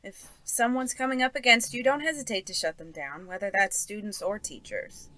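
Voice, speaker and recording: A woman's voice: mid-range voice, normal speed, bright, forceful tone, lightly acted. An actress in an audio drama playing a concerned, supportive mother talking to her teenage daughter.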